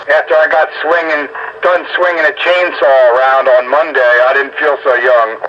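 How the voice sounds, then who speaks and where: A man's voice: a little high, little pitch movement, moderate pace. A man in casual, teasing group banter, heard over a radio link. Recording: muffled, very noisy radio transmission.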